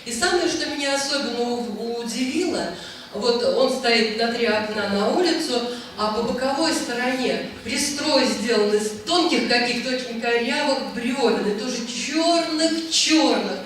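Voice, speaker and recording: A woman tells a chatty, wandering story at a book presentation, often pausing to find her words. Her tone is bright and forceful. She is heard from some way off in a booming, echoey hall, with faint noise underneath. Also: distant mic. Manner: chatty, rambling, frequent hesitations. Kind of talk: anecdotal storytelling talk.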